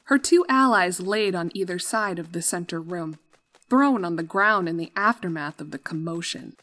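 A woman's voice: on the low side, expressive, moderate pace, fairly bright tone. A woman reading scripted fan-fiction story narration as the narrator. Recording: music under the voice.

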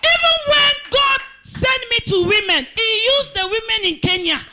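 A middle-aged woman from Cameroon preaching fervently in a church hall on a handheld mic, pausing for an interpreter and breaking into song. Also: very high-pitched, animated. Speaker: guest evangelist. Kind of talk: sermon with live interpretation.

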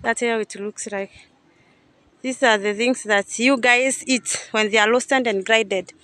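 Chatty woman, high voice. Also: steady tone, measured pace, fairly bright tone. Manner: chatty, unsure, thinking aloud. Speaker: vlogger touring a coffee farm. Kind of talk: casual guided walk-through. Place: outdoors at a coffee farm and factory. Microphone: phone camera held by speaker.

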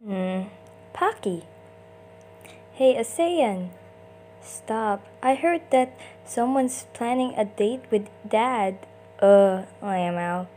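A woman's voice: mid-range voice, lively intonation, slow, warm tone, faint music behind. A woman doing an amateur comic dub, acting out short character lines theatrically in switching voices.

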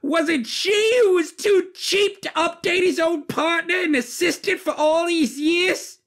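A man voicing an incensed, grieving robot woman with a sharp, accusing manner in an audio drama. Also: very high-pitched, measured pace, voice pushed up, heavily acted. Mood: incensed, distraught.

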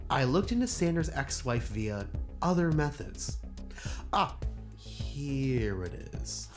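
A man acting a male investigator in an audio drama, grave and deliberate as he cautions and explains. His pitch moves widely, with music underneath. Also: sing-song. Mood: serious, cautioning.

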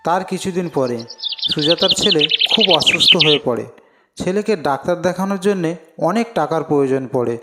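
A man's voice: a little high, lively intonation, moderate pace, very noisy. A man narrating a scripted cartoon story as its storyteller.

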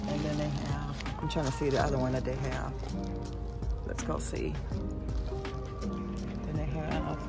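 A woman in her fifties chatting folksily to viewers on a handheld phone while shopping and sitting in her car. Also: low voice. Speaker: vlogger. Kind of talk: casual shopping vlog. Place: store aisles and parked car. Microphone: handheld phone camera.

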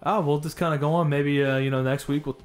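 A man's voice: fairly high pitch, steady tone, quick pace, faint music behind. Easygoing male podcast co-host. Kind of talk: casual chat. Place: room with co-host.

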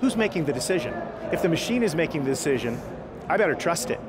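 A middle-aged man co-hosting an interview, asking deliberate, probing questions. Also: fairly high pitch, very animated, over a noisy crowd. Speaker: co-host. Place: convention hall set. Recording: trade show noise behind.

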